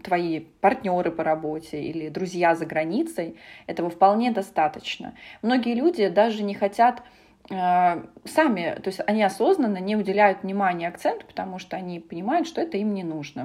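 A woman who coaches pronunciation talks as a podcast guest, weighing her words. She pauses often with small hesitation sounds, and her voice stays at a middle pitch. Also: darkish tone. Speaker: guest, British accent specialist. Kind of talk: casual podcast conversation.

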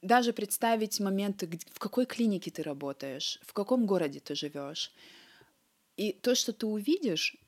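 A young adult woman surgeon from Russia, Ossetian her first language, telling stories earnestly with lively acted-out quotes in an interview. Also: medium pitch, normal speed. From Russia (North Ossetia). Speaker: cardiac surgeon, interview guest. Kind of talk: conversational interview answers.

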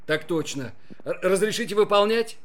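A man playing a brisk young military doctor, a senior lieutenant with clipped commands, in a radio play. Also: sits high, animated, a bit bright. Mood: dutiful. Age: young adult.

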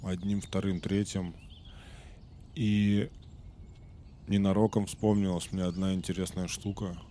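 A man hosting a podcast, telling a story in a casual, rambling way with many fillers, while slightly sick and clearing his throat now and then. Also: deep voice, level tone, a little slow, mellow, slight background noise. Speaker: podcast host.

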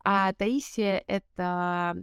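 A woman's voice: medium pitch, fairly even intonation, slow, clean tone, dry recording. Reflective woman in her twenties, dry sound. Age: 20s. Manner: reflective, many fillers and restarts. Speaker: guest. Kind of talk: casual interview talk.

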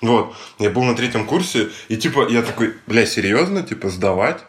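A young man co-hosting a podcast, telling a story in a casual, animated way, mimicking other people's lines and swearing often. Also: mid-range voice, moderate pace. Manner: animated retelling with acted-out quotes and heavy swearing. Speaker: podcast co-host.

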